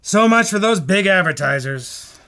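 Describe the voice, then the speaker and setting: A man playing a fretful, hurried studio boss in a live old-time radio drama, stammering and repeating himself. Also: high voice, animated, pushed and bright, heavily acted. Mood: reluctant.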